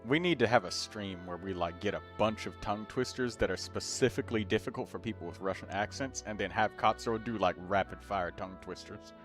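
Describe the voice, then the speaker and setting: A man hosting a gaming stream, chatting casually with friends, excitable and swearing, now and then bursting into loud shouts. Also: fairly low pitch, fairly quick, few pauses, faint music behind. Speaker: streamer host.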